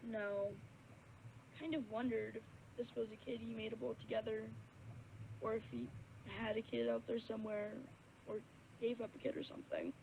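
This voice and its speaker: A woman's voice: fairly high pitch, animated, moderate pace, creaky, long pauses, a little mellow. A woman voicing an earnest young radio call-in caller, telling a story hesitantly over the phone. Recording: tinny phone line with faint noise.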